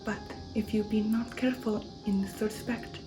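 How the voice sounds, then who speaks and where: A woman voicing a courteous, ominous male vampire count from a gothic novel, hushed, formal and deliberate. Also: mid-range voice, steady tone, far from the mic, noisy background, close to an everyday voice. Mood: grave warning.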